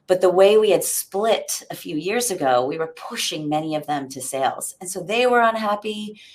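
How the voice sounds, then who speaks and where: A woman's voice: fairly low pitch, expressive, normal speed. A woman speaking as an executive guest in an interview, explaining conversationally and often checking in with the listener.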